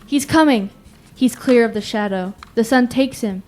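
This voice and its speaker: A woman's voice: fairly high pitch, moderate pace, natural voice. Teenage girl as female radio announcer, urgent, declamatory, short phrases, verse audio play, music underneath. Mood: alarmed. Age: teens. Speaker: student actor. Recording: music behind the voice.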